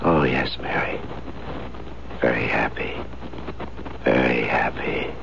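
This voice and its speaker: A menacing madman in a 1970s radio drama. His voice is extremely low and gravelly, drawn out with mock-polite sarcasm, on a dull and noisy recording. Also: fairly even intonation, full character voice. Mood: sinister. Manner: slow, deliberate, mock-polite. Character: menacing, sardonic, homicidal madman husband just released.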